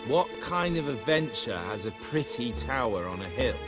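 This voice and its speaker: Guy acting a sarky nitpicky tabletop player, lively mid voice, music behind. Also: mid-range voice, moderate pace, natural voice. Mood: sarcastic. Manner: sarcastic, exasperated. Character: sarcastic nitpicking fantasy game player who loves fighting. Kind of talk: video game cutscene acting.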